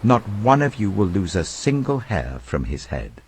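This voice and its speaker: Casting: commanding, earnest male apostle played by a male reader, deep voice, animated and urgent oratory for scripture audio. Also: measured pace, slight background noise. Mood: encouraging. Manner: urgent, emphatic, reassuring oratory.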